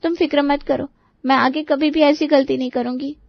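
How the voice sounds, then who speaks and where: A woman's voice: high-pitched, steady tone, normal speed, gravelly, dry recording, muffled recording. A woman playing a young, obedient, self-blaming daughter in a story drama, earnest and meek.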